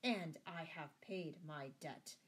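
A man's voice: sits high, animated, a little slow, pitched above the performer's own voice. A woman voicing a proud, earnest medieval knight with a courtly manner, in a storybook tale. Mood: proud, defiant. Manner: earnest, courtly, pleading or defiant. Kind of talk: storybook character voice.

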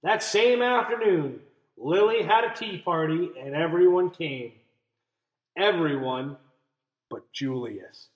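A young man reads a picture book to children in a high-pitched, very animated voice. He explains as he goes and puts questions to his listeners. The room sounds a little echoey. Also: takes it slowly, dull audio. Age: young adult. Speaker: teacher reading aloud. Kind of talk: children's picture book read-aloud with commentary. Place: small indoor room.

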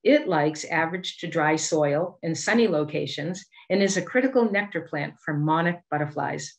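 A middle-aged woman with a Boston accent gives a scripted educational presentation over a video call, measured and articulate. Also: low-pitched, lively intonation, gravelly. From Boston area, United States. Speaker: master gardener giving a presentation.

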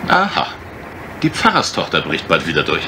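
An actor playing an urbane, teasing gentleman sleuth in a German radio crime drama, dry, ironic and probing. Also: deep voice, wildly swooping pitch, normal speed, noisy background, full character voice.

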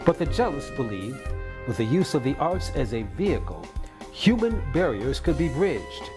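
A man voices a documentary narration, reading lists in a steady cadence. His pitch moves in a lively way around a medium voice. Guitar music plays clearly underneath. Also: normal speed. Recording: voice-over with music bed.

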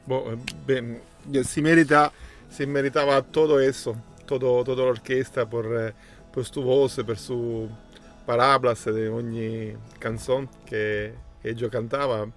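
A man in his forties with an Italian accent, Italian native, hosting a music reaction chat in a small room, speaking haltingly and slipping Italian words into his Spanish. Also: mid-range voice, little pitch movement. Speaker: host of a music reaction channel. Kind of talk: casual chat.

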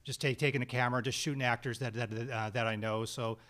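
A man being interviewed about his film work, talking casually in a halting, rambling way with many restarts, recorded on a desk stand mic in a small studio. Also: mid-range voice, steady tone, a bit bright. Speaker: guest actor and screenwriter.

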